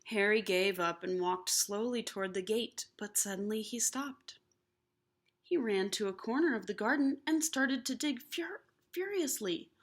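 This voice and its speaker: A woman reading a children's picture book aloud expressively, animated and slipping in hushed asides to the listeners. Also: on the high side. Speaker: storybook reader.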